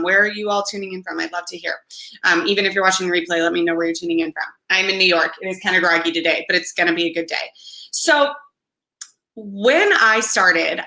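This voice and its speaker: A young woman, slightly hoarse and raspy, hosting a weekly live business show with enthusiastic, encouraging talk. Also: medium pitch, bright, forceful tone, reverberant. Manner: enthusiastic, frequent laughs, encouraging. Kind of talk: upbeat livestream talk.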